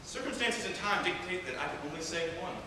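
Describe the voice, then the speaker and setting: A man's voice: high-pitched, lively intonation, fast talker. A man playing an earnest, awkward, lovestruck surgeon with melodramatic delivery in an amateur comedy stage play. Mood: pleading. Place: indoor performance room, mic far off. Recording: echoey hall, distant mic, clear noise.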